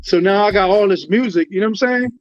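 A man's voice: high voice, fast talker. A man from Liberia, a musician talking casually in an interview, laid-back and full of slang and fillers. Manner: laid-back, slangy, many fillers and "you know what I'm saying". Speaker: guest musician. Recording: dull lo-fi sound with faint music.

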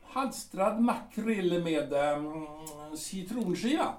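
A proud, bitter old artillery captain, played by a man in an audio drama, full of bluster and contempt. His voice sits high and swings widely as he holds forth in slow, pompous phrases. It sounds roomy and a little distant from the mic. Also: expressive, a little mellow. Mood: wry, musing. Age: older.

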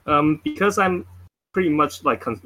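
A man's voice: fairly high pitch, expressive, normal speed, sharp tone, a little hiss or hum, low-quality recording. Hesitant man on a call-in line. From Taiwan. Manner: hesitant, many fillers, laughs nervously. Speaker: caller. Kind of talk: casual call-in chat.

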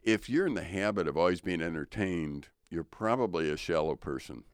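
An older man with a very low, deep voice talks through ideas of faith in a thoughtful, unhurried way, a little preachy. He co-hosts a relaxed studio podcast. The sound is close and dry, with no room echo. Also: clean tone. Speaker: co-host. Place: radio studio.

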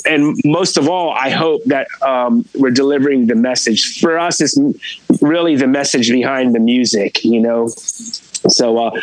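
A man in his late forties from Puerto Rico, a metal guitarist giving a relaxed, rambling interview with lots of fillers. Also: mid-range voice, no room sound, poor audio. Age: 40s. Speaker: metal band guitarist, guest. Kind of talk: casual interview.

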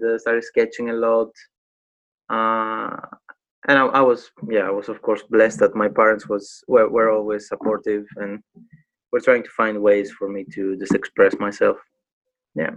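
A man in his thirties with a Greek accent, a native Greek speaker, chatting casually as an interview guest and often pausing to search for words. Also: medium pitch, a bit bright, dull audio. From Greece. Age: 30s. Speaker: guest, graffiti artist.

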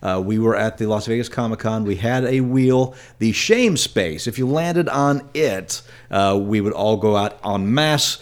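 A man's voice: fairly low pitch, unhurried, few pauses. A man co-hosting a podcast, casually explaining things to the listeners. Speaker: podcast co-host.

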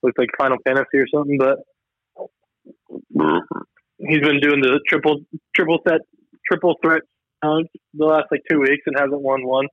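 A man's voice: a little high, level tone, moderate pace, long pauses, no room sound. A young man co-hosting a podcast over a call, rambling loosely through stories and swearing casually. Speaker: podcast co-host. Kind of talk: casual chat. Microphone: remote call line. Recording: dull lo-fi call audio.

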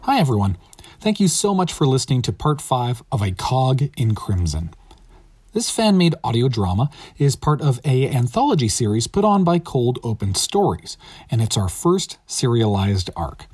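A man's voice: mid-range voice, very animated, normal speed, a little mellow, breathy edge, dry recording. A man hosting an audio drama podcast, reading a scripted, upbeat outro. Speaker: host of an audio drama series.

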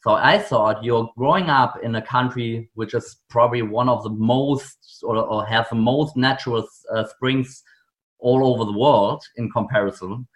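A man's voice: mid-range voice, moderate pace. Earnest German-accented male founder. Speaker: guest, company founder. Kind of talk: interview answers.

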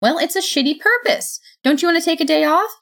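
A woman voicing a tall, brash young woman yelling in screechy, angry bursts. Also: mid-range voice, big pitch swings, normal speed, voice pushed up. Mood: pleading, defiant.

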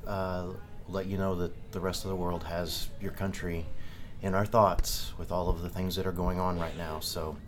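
A man's voice: low voice, flat delivery, normal speed, few pauses. A man hosting an interview, giving short, encouraging replies. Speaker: host.

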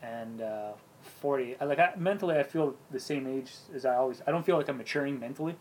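A man's voice: mid-range voice, fast, darkish tone, slight background noise, rough recording. A man in his late thirties chatting casually with friends, leaning on lots of "you know" and "yeah" fillers. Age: 30s. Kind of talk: casual chat.

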